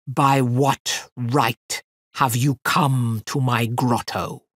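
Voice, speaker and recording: A huge fire fiend speaks with slow, booming scorn, as a woman reader puts him on. His voice sits low and drags each word out. The storybook recording is close and dry. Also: steady tone, breathy edge, no room sound, full character voice. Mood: mocking. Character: huge roaring male fire fiend, mocking. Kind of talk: storybook reading.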